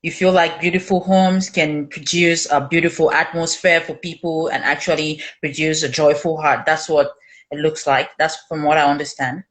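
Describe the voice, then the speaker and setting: Low-voiced woman hosting a chat. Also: flat delivery, moderate pace. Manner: chatty, laughs often, stumbles over words. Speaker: host. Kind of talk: casual interview chat.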